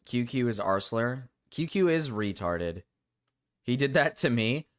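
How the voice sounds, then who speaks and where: A young man streaming and ranting to his chat, rambling with restarts and fillers, his voice going low and cracking. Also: sing-song, a little slow. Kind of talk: casual livestream rant to chat.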